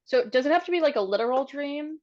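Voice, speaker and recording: A woman's voice: high voice, expressive, a little mellow. Hesitant woman, dull lo-fi recording. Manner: hesitant, halting. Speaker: player. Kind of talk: casual improvised tabletop roleplay talk. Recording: dull lo-fi microphone.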